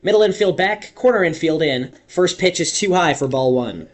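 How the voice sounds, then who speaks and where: A man's voice: on the high side, lively intonation. A young man calling baseball play-by-play in a radio commentary style, stretching big moments dramatically. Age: young adult. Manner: dramatic, drawn-out calls on big plays.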